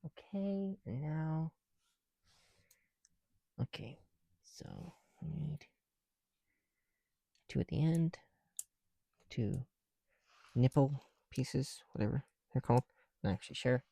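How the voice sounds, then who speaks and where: A soft-spoken man with a mellow, relaxed tone and very lively pitch movement, chatting in a casual ASMR style. He sounds tired and hushed, murmuring to himself close to the mic in a dry recording. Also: takes it slowly, vocal fry, pauses often, no echo. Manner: hushed, musing to self while counting pieces. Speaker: ASMR video creator.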